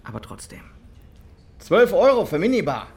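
An actor playing an agitated, apologetic colleague in a crime radio drama, pleading and insistent in a blunt way. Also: male voice, sits high, sing-song, normal speed, gravelly, a little mellow, slightly rough, heavily acted. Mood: apologetic, uneasy. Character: agitated, apologetic male colleague trusting his gut. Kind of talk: naturalistic German crime radio drama acting. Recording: faint background noise.

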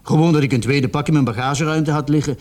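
An actor in a radio drama playing a cornered, remorseful young male murder suspect who confesses in a halting, defensive way. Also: on the high side, darkish tone, lightly acted. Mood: defensive, explaining.

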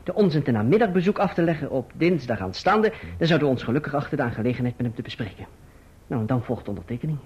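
An actor playing an earnest young gentleman in love in a radio play, with a slightly husky voice, on a dull recording. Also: mid-range voice, expressive, fast talker. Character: earnest, anxious young gentleman in love, narrating his story. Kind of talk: radio play acting and narration.

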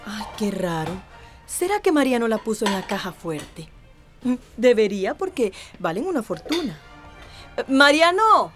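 An actress playing a fussy, suspicious and bossy wealthy wife in a radio drama. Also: female voice, fairly high pitch, very animated, normal speed, over music.

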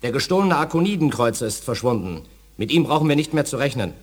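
An actor playing an authoritarian, pompous reptilian alien admiral in a science fiction radio drama. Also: male voice, mid-range voice, sing-song, quick pace, lightly acted. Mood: stern, confident. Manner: commanding, pompous. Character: imperious male reptilian alien admiral, authoritarian, easily outraged. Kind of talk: 1980s German radio drama acting. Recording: studio radio play with long reverb.

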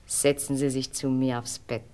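An actress playing a seductive, mocking stage star in a radio play, with a teasing, imperious and dramatic way of talking. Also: female voice, very deep voice, little pitch movement, normal speed, dark tone, clear, resonant tone. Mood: seductive, commanding.

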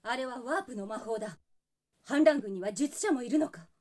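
A woman's voice: on the high side, animated, brisk, a little mellow, no echo, clean studio sound. A woman playing a stern, proud warrior princess in a fantasy audio drama, speaking coolly and with command. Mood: pensive. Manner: cool, commanding, masculine phrasing.

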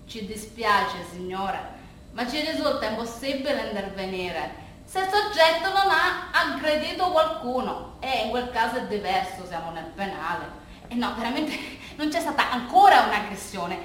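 A woman voicing a formal, hesitant emergency operator who speaks slow, halting officialese in a stage monologue. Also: medium pitch, very animated, fairly bright tone. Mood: apologetic, official. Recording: roomy, distant stage recording with noise.

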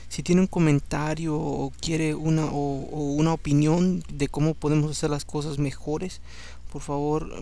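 A man's voice: on the high side, gravelly, warm tone. A man whose first language is Spanish, tired late at night, reading scripture aloud as podcast host with conversational asides and English words slipped in. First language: Spanish, English second. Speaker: podcast host and Bible reader.

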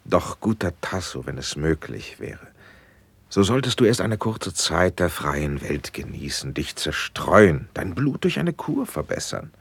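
A dignified princely patron in classical radio verse. The voice is extremely deep and husky, and the lines come slowly and measured. He sounds paternal and stately. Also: sing-song, dry recording, heavily acted. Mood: gracious, advising.

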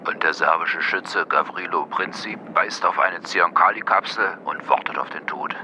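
Male chronicler acted by a man, very low and forceful, grave scripted radio narration. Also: normal speed, slight background noise.

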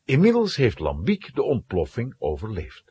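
A man's voice: medium pitch, huge pitch swings, normal speed, a little mellow, breathy edge, dry recording. A man narrating a scripted children's audio play in a dramatic storytelling manner.

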